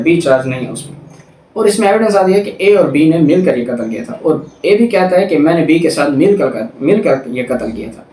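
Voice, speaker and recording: A man's voice: on the high side, a little mellow. A Pakistani man giving an explanatory lecture in a didactic manner, mixing in English technical terms. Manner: didactic, alternating between Urdu explanation and English legal terms. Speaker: law lecturer. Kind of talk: explanatory lecture on legal articles. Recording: echoey room, distant microphone.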